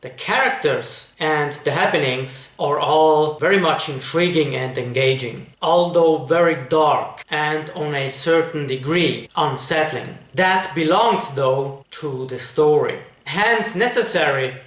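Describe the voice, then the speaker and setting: A man presenting a reaction review as an online host, with measured, formal wording addressed straight to viewers. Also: a little high, fairly bright tone. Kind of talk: reaction review commentary to camera. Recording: echoey, reverberant room.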